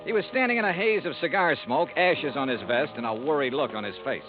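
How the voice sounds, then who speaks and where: Guy acting a hard-boiled 50s radio investigator, real quick and wry, muffled old recording. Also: on the high side, expressive, lightly acted. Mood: crisp, businesslike. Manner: clipped, wry, punchy. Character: wry, hard-boiled male freelance insurance investigator, coolly skeptical. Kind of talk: 1950s radio detective narration and dialogue. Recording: muffled vintage radio with music.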